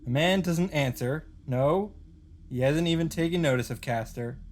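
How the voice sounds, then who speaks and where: A man's voice: on the high side, moderate pace, a little mellow. A man reading a visual novel story aloud as a host, in a dramatic narrating style.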